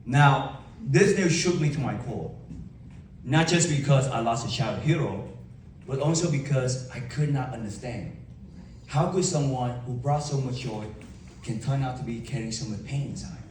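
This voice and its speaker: A young man with a Vietnamese accent giving an emphatic motivational keynote to a live audience, with dramatic pauses and rhetorical questions. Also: medium pitch, a little hiss or hum. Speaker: motivational speaker. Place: large event hall. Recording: echoey hall from far off.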